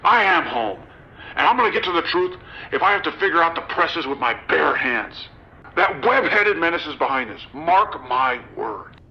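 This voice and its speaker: A man's voice: a little high, big pitch swings, moderate pace, sharp tone, slight background noise, heavily acted. Man acting a blustering, muffled crank over radio. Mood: defiant. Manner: indignant, mocking, emphatic. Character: blustering older conspiracy-minded man railing against vigilantes. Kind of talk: audio drama acting. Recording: dull lo-fi radio sound.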